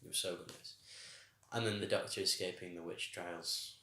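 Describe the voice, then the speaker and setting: A man co-hosting a casual review podcast, enthusiastic and quick to laugh. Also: low-pitched, steady tone, bright, forceful tone. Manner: enthusiastic, laughs often, acts out reactions. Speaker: podcast co-host. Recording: echoey room.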